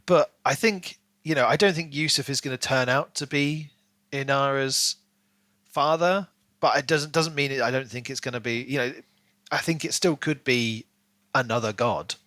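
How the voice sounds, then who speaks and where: Bright-voiced man in casual book talk. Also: medium pitch, moderate pace, no echo. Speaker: podcast co-host.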